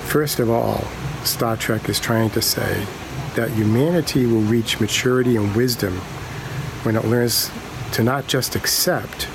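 A man reading a quotation aloud deliberately, in short measured phrases. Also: medium pitch, steady tone, vocal fry, over music, rough recording. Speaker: guest quote reader.

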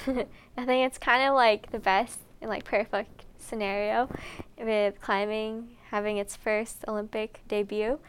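A woman's voice: on the high side, steady tone, measured pace, clear, resonant tone. A young American woman answering interview questions in a bubbly, giggly way, with frequent "like". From United States. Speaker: climber.

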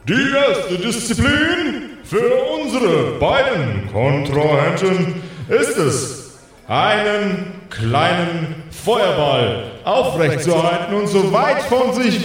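A man leads an improvised tabletop story as game master. His voice is fairly high and very animated, and he takes his time with dramatic pauses. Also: breathy edge. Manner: theatrical, pausing for effect. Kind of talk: improvised pen and paper storytelling.

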